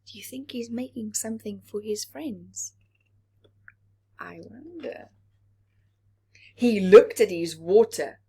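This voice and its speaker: A woman narrates a children's picture book in a slow, deliberate way. Her pitch moves in lively swoops for dramatic effect, and her voice has a husky, breathy edge. She speaks as if directly to young listeners. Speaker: children's story reader. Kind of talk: expressive read-aloud picture-book narration with whispered asides.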